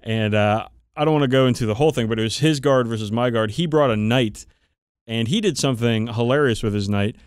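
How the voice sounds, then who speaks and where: A man's voice: medium pitch, brisk, clean tone, no room sound, studio quality. A man co-hosting a podcast, chatting casually and swearing freely as he tells stories. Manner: profane, animated storytelling about tabletop games. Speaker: podcast co-host. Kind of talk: casual chat between friends.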